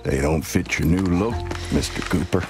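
An actor playing a weary, menacing old Western marshal in a video game, speaking in a slow, dry, deliberate and gravelly way. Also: male voice, sits low, music in the background, close to an everyday voice. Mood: calm, instructive. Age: older.

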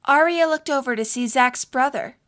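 A woman's voice: high-pitched, moderate pace, fairly bright tone. A woman reading scripted narration for a story.

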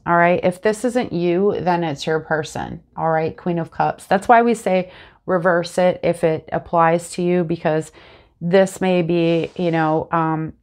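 A woman in her thirties, a bit congested and sniffly, chatting casually through a tarot reading to camera on a lapel mic in a small room at home. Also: lowish voice. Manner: chatty and animated, talks with her hands. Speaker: tarot reader.

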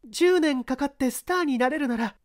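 A woman's voice: high-pitched, moderate pace, no echo, clean studio sound, natural voice. A woman playing a young actress who speaks politely and earnestly, pleading, in a dramatised audiobook. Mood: desperate pleading.

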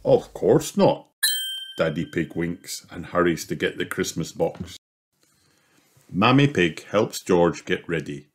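Deep-voiced man narrating a children's story. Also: unhurried, background noise.

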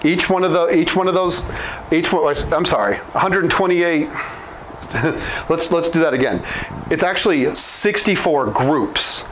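A man in his thirties explaining software licenses at a whiteboard, talking quickly and informally with frequent restarts and self-corrections, on a lapel mic in a small room. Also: a little high, slight background noise, rough recording. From Oklahoma. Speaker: product trainer.

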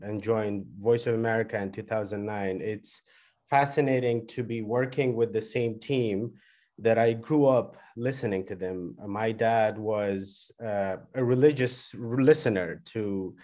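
A man, a guest on a webinar video call, explaining in a measured way with many fillers and restarts. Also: lowish voice, a little mellow. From Afghanistan. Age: 30s. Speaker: guest, head of a news service. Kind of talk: webinar panel answer. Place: indoors on a video call, virtual background. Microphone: webcam video call.

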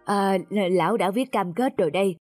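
A man playing a stern, wise elderly scholar in a cartoon folk tale, admonishing in a measured way. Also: high-pitched, darkish tone, faint music behind, close to an everyday voice. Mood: sly, measured. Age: older.

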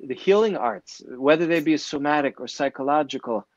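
Therapist guest, new yorker, rambling with fillers, dry muffled video call audio, forties. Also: male voice, fairly high pitch, normal speed, no echo. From New York City. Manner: warm, rambling, many fillers and restarts. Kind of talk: conversational interview answers. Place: indoor office, video call. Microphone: earbuds on a video call.

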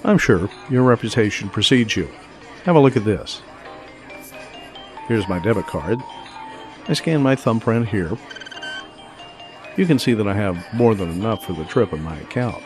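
A man's voice: on the low side, lively intonation, normal speed, pauses often, music in the background, natural voice. An actor playing a polite, courteous male college professor of about fifty in an audio drama.

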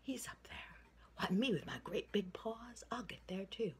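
A woman's voice: mid-range voice, expressive, moderate pace, pitched above the performer's own voice, close to an everyday voice. Woman putting on a big grumpy old bear. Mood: mocking, boastful. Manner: loud, exclaiming, boastful. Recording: noisy home recording with room ambience.

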